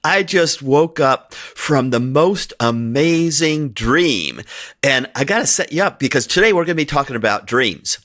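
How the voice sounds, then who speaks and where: A man's voice: a little high, sing-song, measured pace, fairly bright tone. A middle-aged man whose first language is Hungarian, talking in a rambling, chatty way on his own podcast and laughing often. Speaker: podcast host. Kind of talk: casual solo podcast monologue.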